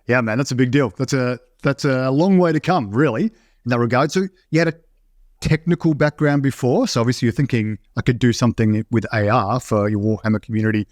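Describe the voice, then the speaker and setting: A man's voice: medium pitch, a little fast, dry recording, studio quality. A man hosting a podcast, asking casual, rambling questions with several parts. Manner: casual, asks rambling multi-part questions. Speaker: podcast host. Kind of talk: casual interview chat.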